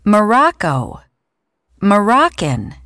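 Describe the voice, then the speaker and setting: A woman clearly pronouncing each word of a vocabulary list on a course audio track, played back during a lesson. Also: medium pitch, huge pitch swings, fairly bright tone, dry recording, clean studio sound. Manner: clear, deliberate word-by-word pronunciation. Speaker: textbook audio speaker.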